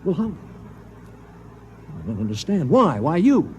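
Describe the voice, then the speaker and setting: A man's voice: on the high side, huge pitch swings, unhurried. An actor playing a cynical, glib space traveler who sneers as he talks, in 1950s radio drama style. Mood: urgent. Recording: noisy vintage radio broadcast recording.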